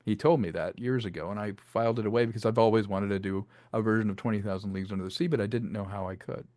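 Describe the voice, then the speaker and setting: A man's voice: lowish voice, steady tone, rapid-fire, a little mellow, no room sound. Chatty man with a closely recorded voice. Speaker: interview guest, filmmaker and audio drama creator. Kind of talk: casual interview, storytelling about creative work.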